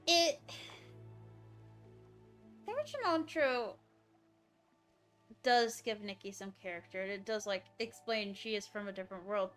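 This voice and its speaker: A woman's voice: mid-range voice, fairly bright tone. A woman livestreaming a game, giving casual commentary and thinking aloud about the story in a musing way.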